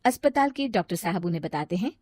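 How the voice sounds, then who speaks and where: A woman's voice: on the low side, animated, fast talker, a little mellow, no echo. Woman narrating a story, dry studio sound.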